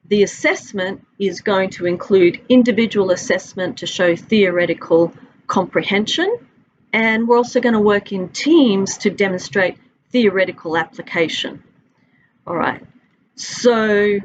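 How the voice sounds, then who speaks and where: A woman's voice: mid-range voice, slight background noise. Australian woman lecturer, dull webcam audio. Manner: friendly, conversational, stretches out listed items. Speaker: university instructor. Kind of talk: recorded course introduction. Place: office with a large window. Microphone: webcam at desk.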